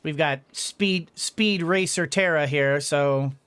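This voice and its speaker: A man giving casual let's play commentary, rambling and thinking out loud as he goes. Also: fairly high pitch, takes it slowly, smooth, no room sound. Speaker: gaming video host.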